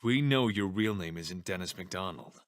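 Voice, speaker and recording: A man's voice: sits low, animated, normal speed, no echo, clean studio sound. A man playing a blunt, suspicious police inspector in an audio crime drama. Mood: firm, probing.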